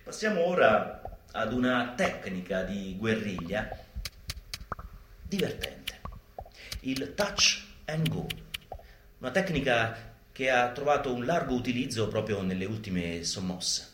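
Male actor for urban guerrilla instructor in radio theatre: emphatic, mock-instructional, very animated pitch, roomy live sound. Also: slow pace, long pauses, slight background noise. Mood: emphatic, relishing.

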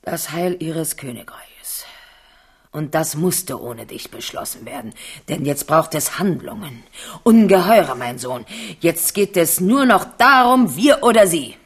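A woman's voice: sits low, big pitch swings, unhurried, slightly rough, exaggerated voice. An older actress playing a scheming, imperious old queen mother in a German radio drama. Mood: cold, commanding. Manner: imperious, calculating.

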